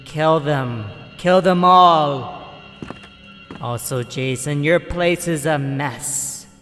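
Man as murderous nagging mother spirit, slightly high, long reverb, music behind, motherly commands, cartoon parody. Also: very slow, fairly bright tone. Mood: commanding, nagging. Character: doting, murderous, nagging ghostly mother in her killer son's head. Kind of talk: comedic horror parody acting.

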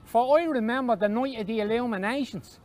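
Guy doing a nosy little irish boy in a story, eager and persistent, really high voice. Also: a little fast, a little mellow. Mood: curious. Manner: eager questioning.

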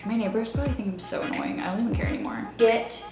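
A woman's voice: on the high side, fast, vocal fry. A woman from Maryland rambling casually to camera in a vlog, full of "like" fillers. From Baltimore area, Maryland. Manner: rambling, filler-heavy "like" talk. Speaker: vlogger. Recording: roomy echo with music behind.

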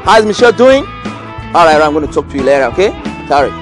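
A man's voice: a little high, fast talker, over music. A man with a Nigerian accent playing a boastful drinker who puts on a showy, slangy swagger in a comedy film. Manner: showy, animated slangy posturing. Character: boastful drinker putting on a returnee-from-abroad swagger, then pleading.

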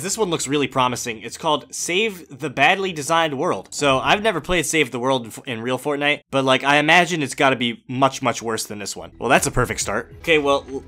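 A young man giving energetic, exasperated gameplay commentary as a gaming YouTuber, with loud outbursts. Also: mid-range voice.

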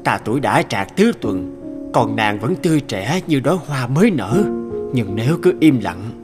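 Gentle, lovelorn music master around forty played by a man, lively intonation, earnest and formal, animated folk tale, music underneath. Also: mid-range voice, moderate pace, lightly acted. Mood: wistful, tender.

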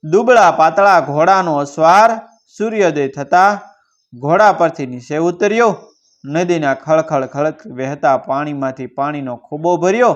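A man with a Kathiawadi Gujarati accent telling a folk tale in a theatrical, declamatory, rustic bardic way. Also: on the high side. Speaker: storyteller. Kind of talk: dramatic Gujarati folk storytelling. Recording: dull lo-fi recording.

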